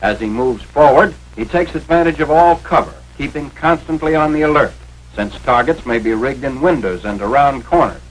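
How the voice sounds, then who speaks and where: A man's voice: mid-range voice, normal speed. An American man delivering authoritative, instructional 1940s military training film narration in formal, clipped phrasing. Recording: noisy vintage 1940s film soundtrack.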